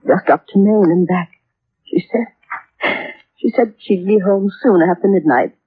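Through a thin, phone-like vintage radio recording comes a worried middle-aged mother. Her voice is husky and subdued, and she answers hesitantly, with quiet regret. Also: medium pitch, unhurried, no room sound. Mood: worried, regretful. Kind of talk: old-time radio drama acting.

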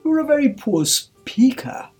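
A woman voicing a short-tempered, blustering male king in a storybook, sharp and imperious as he commands. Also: high voice, sing-song, a little slow, husky, faint music behind, pitched above the performer's own voice, exaggerated voice. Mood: irritable.

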